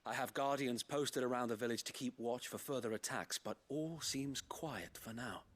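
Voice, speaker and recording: A man's voice: mid-range voice, moderate pace, a bit bright, lightly acted. A man voicing a loyal knight in a game, formal, earnest and deferential. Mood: weary relief. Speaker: video game character. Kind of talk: dramatic game voice acting. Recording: faint game music behind. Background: game music.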